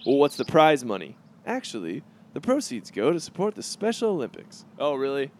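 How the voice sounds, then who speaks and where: A man's voice: mid-range voice, huge pitch swings, a little fast, a little hiss or hum. A man playing a bratty, swaggering, foul-mouthed rich schoolboy in a comedy cartoon, cocky and sarcastic. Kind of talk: animated comedy voice acting.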